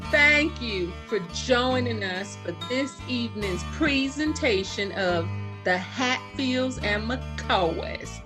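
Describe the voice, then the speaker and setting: A woman's voice: fairly high pitch, expressive, slow, hardly any pauses. A woman narrator reading scripted announcements for a radio drama. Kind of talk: scripted narration, cast credits. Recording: music behind voice. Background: plucked guitar music.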